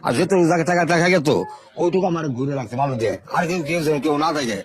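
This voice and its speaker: An older man. He tells his life story to an interviewer at a brisk pace and often repeats his words. Faint music plays under his voice. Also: fairly high pitch. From Bangladesh, Sherpur. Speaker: interview guest.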